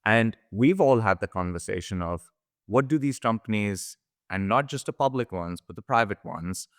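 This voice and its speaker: A man co-hosting a conversational business podcast, explaining in a measured way and posing questions. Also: fairly low pitch, expressive, fairly bright tone, no room sound, studio quality. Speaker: podcast co-host.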